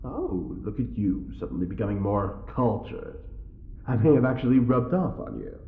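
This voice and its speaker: An actor playing a smug, well-bred prisoner with a sly wit, speaking in an arch, mock-polite drawl in an audio drama. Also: male voice, mid-range voice, expressive, normal speed, echoey room, narrow, tinny audio, a little hiss or hum, natural voice. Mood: smug, teasing. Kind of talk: scripted audio drama acting.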